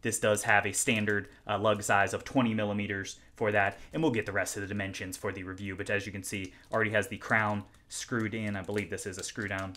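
A man's voice: on the low side, little pitch movement, a little fast, hardly any pauses, pushed and bright. A man reviewing watches, talking casually through an unboxing at home, chatty and self-deprecating with many ums and restarts. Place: home room, indoors.

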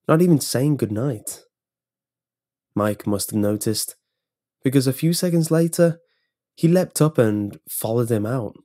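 A man's voice: on the low side, mellow. A man reading a scripted first-person horror story as its narrator.

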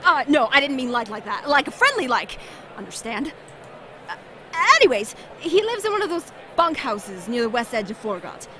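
A woman acts out a sassy young woman running a game's food stall. She is pushy and theatrical, stretching words for emphasis, with a fairly high, very lively pitch. There is clear background noise. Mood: flustered, casual. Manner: emphatic, drawn-out, theatrical delivery. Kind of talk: acted visual novel dialogue. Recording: clearly noisy background ambience.

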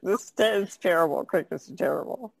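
A woman's voice: on the low side, normal speed, vocal fry, a little hiss or hum, low-quality recording. A woman chatting casually as a podcast guest, in a plainspoken way. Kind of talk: casual chat.

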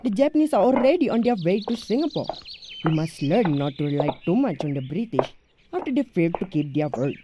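A man's voice: sits high, very animated, brisk, noisy background. A man playing an opinionated townsman who complains indignantly while waiting for his food, in an amateur radio play.

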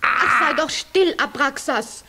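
A little witch in a children's radio play, with a high voice and slow, deliberate delivery. She is stubborn, a bit huffy and easily flustered. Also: expressive, vocal fry, bright, forceful tone, faint music behind, exaggerated voice. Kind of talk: German children's audio drama.

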